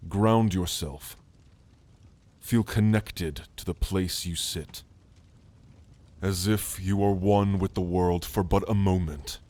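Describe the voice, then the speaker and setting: A man voicing a calm, scholarly orc shaman and gentle mentor, speaking in a soothing, measured, formal way in an ASMR roleplay. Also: deep voice. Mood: calm, hypnotic.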